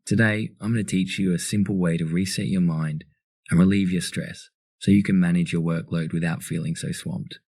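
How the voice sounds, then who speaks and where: Male narrator for guided meditation. Deep, soft dark timbre, calm and soothing delivery. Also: normal speed, husky, no room sound, clean studio sound.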